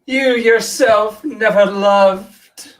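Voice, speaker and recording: A man's voice: sits high, fairly even intonation, very slow, pitched above the performer's own voice, poor audio. A man playing a hungry, seductive female vampire in a comedic radio play, crooning and coaxing. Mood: pleading.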